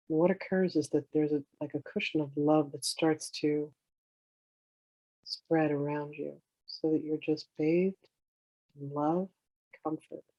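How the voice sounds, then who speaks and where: A meditation teacher guiding in a soothing, unhurried way, with gentle instructions in drawn-out phrases. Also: medium pitch, dry recording, lo-fi sound. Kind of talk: guided meditation, slow instruction.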